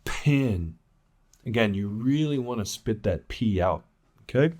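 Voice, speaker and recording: A man with a fairly deep voice teaches pronunciation. He moves at a very slow, measured pace. Example words come out with deliberate, emphatic articulation, set among plain instructional explanations. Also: expressive, a little mellow. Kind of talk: instructional lesson with demonstrated words.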